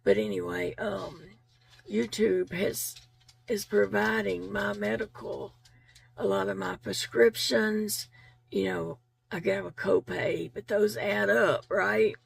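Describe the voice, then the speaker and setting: A woman vlogger whose voice has gone raspy and is fading, with a strong gravelly fry in every phrase. She talks earnestly and thankfully to her viewers, circling back on her words with easy fillers. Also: mid-range voice, steady tone, takes it slowly. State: losing her voice. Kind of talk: casual heartfelt chat to viewers.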